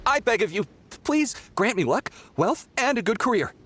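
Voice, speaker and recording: An excitable young male pilgrim from an anime game, with a high voice and lively, theatrical swings. He sounds impatient and petulant, over slight background noise. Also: normal speed. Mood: awed, pleading. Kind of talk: anime-style video game acting.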